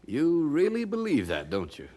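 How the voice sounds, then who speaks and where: Witty colonial printer with lively delivery. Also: male voice, on the high side, moderate pace. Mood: probing. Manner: wry, conversational, amused. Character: genial, skeptical colonial printer, worldly and witty. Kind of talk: audio drama acting.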